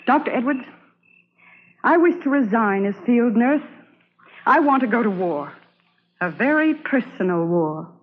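A woman's voice: fairly high pitch, animated, a little slow, pauses often, narrow, tinny audio, a little hiss or hum. A woman playing an earnest, resolute young Civil War army nurse in old-time radio drama style. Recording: band-limited vintage radio recording.

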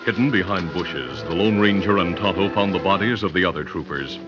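A man performs as the narrator of a vintage radio western, delivering scripted story in a dramatic, low voice. Loud music plays behind him, and the old recording sounds dull. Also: fairly quick, lightly acted. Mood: suspenseful.

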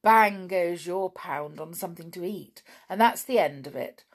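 A woman's voice: lowish voice, measured pace. A woman voicing a sneering, accusing male goblin who scolds, in a storybook reading.

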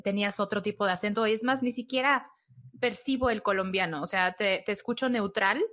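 A Mexican woman co-hosting a talk show who talks quickly and encouragingly. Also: mid-range voice, a bit bright. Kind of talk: casual interview chat.

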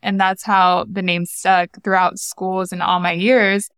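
A young woman hosting a podcast, chatting casually and often reacting with soft exclamations. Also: mid-range voice, level tone, measured pace, clear, resonant tone, dry recording, clean studio sound. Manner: warm, reacts often with "wow". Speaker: podcast host. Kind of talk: casual conversation.

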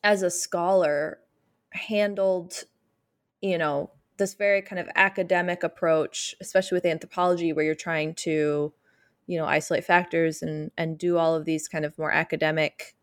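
Thoughtful woman interviewer, low-pitched. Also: fairly even intonation, moderate pace. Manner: thoughtful, meandering questions with hedges. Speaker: podcast interviewer. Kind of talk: academic interview questions.

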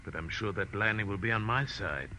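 A towering, possessive hothead from an old radio drama. His voice is very sharp and forceful, swinging widely in pitch with brooding, theatrical menace, over a faintly noisy vintage broadcast. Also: animated, fairly quick. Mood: brooding, threatening. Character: huge, possessive, hot-tempered red-haired island native-defender. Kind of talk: 1940s radio acting.

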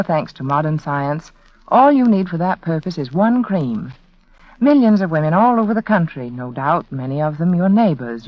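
A woman reading a scripted 1940s radio commercial as hostess, persuasive and carefully enunciated. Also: fairly low pitch. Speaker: sponsor's hostess and advertiser. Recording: dull vintage radio recording.

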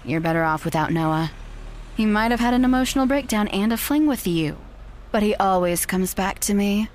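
Actress as smug, condescending young fiancée, manipulative and clipped, mid-range voice, naturalistic audio drama. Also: female voice, mid-range voice, a little hiss or hum, close to an everyday voice. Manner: haughty, dismissive, clipped.

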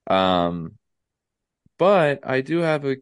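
A young man with a stuffy, congested nose co-hosting a casual podcast chat, halting with many ums and restarts. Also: mid-range voice, sing-song, clear, resonant tone, no room sound, clean studio sound. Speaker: podcast co-host.

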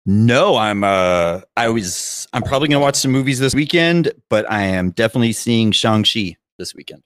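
A man with a lowish voice trades casual banter as a podcast co-host. The recording is dry and close, with no audible room. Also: animated, normal speed, fairly bright tone, dry recording.

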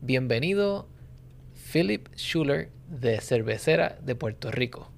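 A man's voice: mid-range voice, animated, slow pace, creaky, slight background noise. A man in his thirties hosting a podcast interview, often adding tag questions. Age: 30s. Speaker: podcast host.

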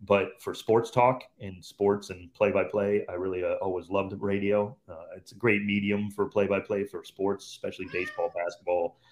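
A man's voice: low voice, little pitch movement, normal speed, few pauses, a little mellow. A man in his fifties from Cleveland, a radio sports commentator, telling his career story as a podcast guest in an easygoing, reflective way. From Cleveland, Ohio, USA. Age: 50s. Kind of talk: relaxed storytelling in conversation.

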